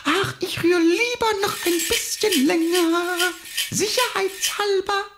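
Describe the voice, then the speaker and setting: A woman's voice: extremely high pitch, slow pace, very noisy. A woman voicing a small, cheerful, overcautious little boy king in a German children's cartoon, chatty and childlike. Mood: busy, eager. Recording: music behind the voice.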